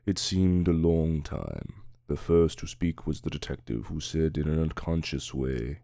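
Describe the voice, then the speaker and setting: Very deep, mellow male narrator. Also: steady tone, unhurried, vocal fry, no room sound, studio quality. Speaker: audiobook narrator. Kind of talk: scripted dramatic narration.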